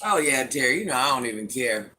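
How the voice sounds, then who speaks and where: Middle-aged woman w/ a deep smoky voice, sassy n blunt, reading chat live, bright edgy tone. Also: lively intonation, fast. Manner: blunt, sassy, talks back to commenters. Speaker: livestream host reading chat comments.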